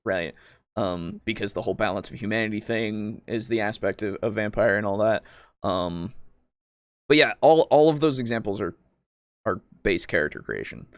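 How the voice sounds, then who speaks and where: A man's voice: fairly low pitch, moderate pace, no echo. A man co-hosting a casual tabletop roleplaying discussion, rambling and searching for words, with some casual swearing. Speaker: podcast co-host.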